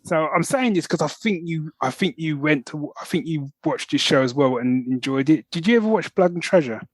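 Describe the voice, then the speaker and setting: A man with a slightly high voice chats as a podcast host. He tells things in an animated, informal way, ranting casually. The sound is close and dry. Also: brisk, dry recording.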